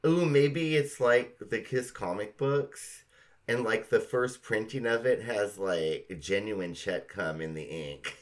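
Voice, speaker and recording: A woman's voice: a bass voice, slow. A middle-aged woman co-hosting a podcast, talking casually and emphatically with a friend, with some swearing. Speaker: podcast co-host. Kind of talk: casual chat between friends.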